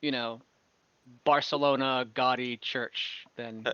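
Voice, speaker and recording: Male game master, forceful and slightly high, improvising fantasy scenes in a halting, rambling way; dry, slightly muffled recording. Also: steady tone, smooth, no room sound. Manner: halting, rambling, self-correcting. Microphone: online voice call, close microphone.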